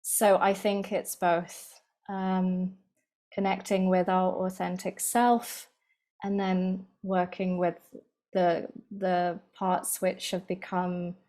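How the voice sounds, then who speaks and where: A woman speaking as a guest expert in a calm, steady conversation, affirming what others say. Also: fairly low pitch, slow. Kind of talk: casual conversation.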